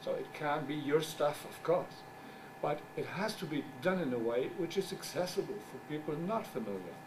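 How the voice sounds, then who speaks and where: A middle-aged man whose first language is German gives a conference talk as a journal editor. He speaks English haltingly, searches for words, and makes wry asides. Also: on the high side, animated. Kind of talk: conference presentation. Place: conference room. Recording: distant mic with background noise.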